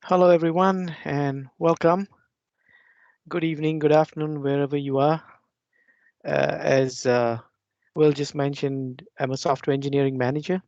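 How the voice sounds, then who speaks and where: A man in his forties from India, a software engineering manager, giving a measured keynote with frequent fillers over a video call. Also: fairly high pitch, little pitch movement, no room sound. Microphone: video call mic. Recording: dull lo-fi video call audio.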